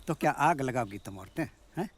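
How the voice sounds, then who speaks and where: A man's voice: mid-range voice, animated, fairly quick, slight background noise, close to an everyday voice. An actor playing a stern village headman in a screen drama, commanding and scornful.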